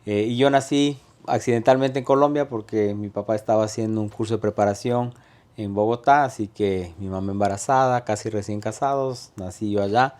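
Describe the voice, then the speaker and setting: A man with an Ecuadorian accent in his thirties, a guest telling his life story in an interview, pausing often on fillers and restarting phrases. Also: on the low side, steady tone, a little mellow. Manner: hesitant, many "eh" fillers and restarts. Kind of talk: conversational interview.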